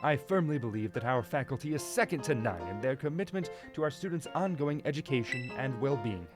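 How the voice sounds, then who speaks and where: Cartoon fan dub: male actor as polite, formal, dignified older headmaster, mid-pitched, lively intonation, music behind. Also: lightly acted. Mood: courteous, pleased. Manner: formal, polite, measured wording.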